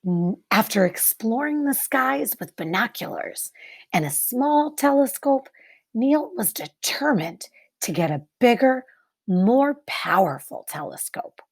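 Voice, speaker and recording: A woman reading a picture book aloud like a teacher, expressively, with deliberate dramatic pauses and emphasis for young listeners. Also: on the high side, husky. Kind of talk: expressive children's read-aloud narration.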